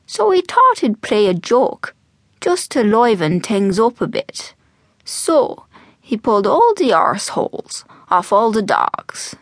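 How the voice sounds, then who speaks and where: A woman voicing a mischievous, wheezy old male storyteller in an audiobook, slow and conspiratorial, slipping into whispers. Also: on the high side, very animated, dry recording, clean studio sound, exaggerated voice. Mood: hushed, playful. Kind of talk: audiobook character voice.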